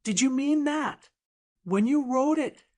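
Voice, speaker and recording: A woman voicing an earnest, tender man, a gruff contractor in love, in an audiobook drama. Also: high-pitched, slow, darkish tone, pitched above the performer's own voice. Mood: earnest, searching.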